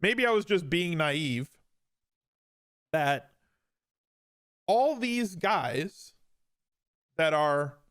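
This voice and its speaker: A man's voice: a little high, expressive, extremely slow, long pauses, fairly bright tone, no echo, clean studio sound. A man in his forties hosting a podcast, telling his own story in a casual, conversational way with emphatic repeats and some self-deprecation. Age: 40s. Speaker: podcast host.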